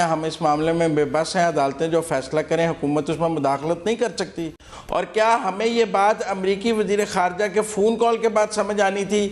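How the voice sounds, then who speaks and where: An indignant man delivers heated TV commentary as a political analyst. His voice sits very high for a man, and his tone runs sarcastic and scornful.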